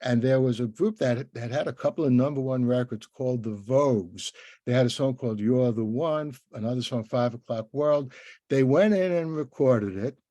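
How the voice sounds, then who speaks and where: A man's voice: medium pitch, moderate pace, mellow, no echo. An older man hosting a conversational interview, talking in a rambling, anecdotal way.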